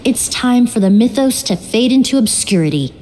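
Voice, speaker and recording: A woman voicing a calm, analytical young perfumer who reasons aloud in a measured way. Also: fairly high pitch, background noise, lightly acted. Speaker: investigator in a game story. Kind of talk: video game voice acting. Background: faint game music.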